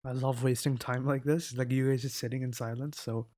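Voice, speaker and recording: A man's voice: mid-range voice, level tone, brisk, darkish tone. A teenage boy chatting casually in a rambling, self-interrupting vlog, swearing now and then, into a desk mic. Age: teens. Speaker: vlogger. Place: indoors at a laptop.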